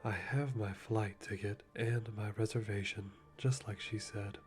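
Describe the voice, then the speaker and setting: Methodical male hitman, acted by a man, ASMR monologue: soft, lowish, deliberate, dryly amused, light music underneath. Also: steady tone, lightly acted. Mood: calm, calculating. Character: calm, methodical male hitman planning a kill.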